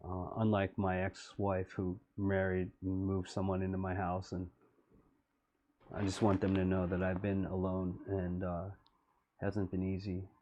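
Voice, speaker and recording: A middle-aged man talking through his own music video, slow and wistful. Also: completely flat delivery, dark tone, muffled recording. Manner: low-voiced, slow and wistful. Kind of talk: reflective commentary.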